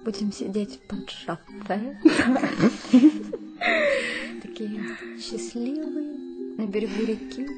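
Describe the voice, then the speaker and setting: Casting: self-assured, whimsical young daughter, teasing and bossy; higher register, radio play over music. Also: female voice, takes it slowly, pauses often. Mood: dreamy. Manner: matter-of-fact, teasing, bossy. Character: precocious, capricious unborn daughter, self-assured and playful. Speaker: radio drama actress.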